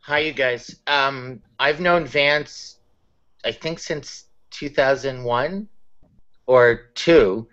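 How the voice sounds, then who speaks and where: A man who trains teachers in technology, introducing himself unhurriedly with many pauses. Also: mid-range voice, a bit bright. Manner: unhurried, hesitant with many ums. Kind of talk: casual self-introduction. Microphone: video call. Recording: dull lo-fi call audio.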